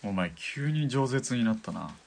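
A man playing a laconic, calculating spotter, a sniper's partner, in a measured and understated Japanese audio drama style. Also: mid-range voice, expressive, creaky. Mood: calm, wry.

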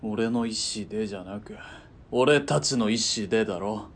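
A man's voice: moderate pace, lightly acted. A man playing a cool, commanding military spotter in a radio drama, clipped and composed. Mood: grave, determined. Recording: faint rumbling background noise.

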